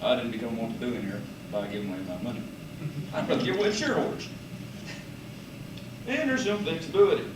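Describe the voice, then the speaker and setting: A man voicing a unicorn billionaire boss in an amateur puppet show, halting and mock-serious. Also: medium pitch, lively intonation, pitched below the performer's own voice, close to an everyday voice. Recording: roomy, noisy, far from microphone.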